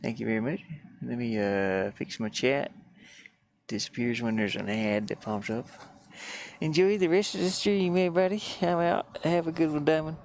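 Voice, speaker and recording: A man's voice: medium pitch, normal speed. A man streaming live as he works, thinking aloud casually and trailing off mid-thought. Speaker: live streamer making a game. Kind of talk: casual livestream work commentary, thinking aloud. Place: live stream from his van. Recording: steady background noise.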